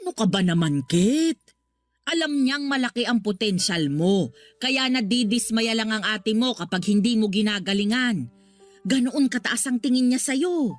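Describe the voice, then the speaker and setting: A woman plays a protective middle-aged mother in a radio drama. She speaks a little high, patient and reassuring yet firm, over faint music. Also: animated, moderate pace, no room sound, close to an everyday voice. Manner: soothing, gently scolding. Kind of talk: Tagalog radio drama acting.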